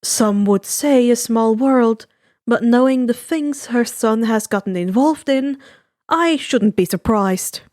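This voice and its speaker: A woman's voice: on the high side, takes it slowly, a little mellow, dry recording, clean studio sound. A woman voicing a polite, fussy male magician villain in a story reading. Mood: bemused, polite.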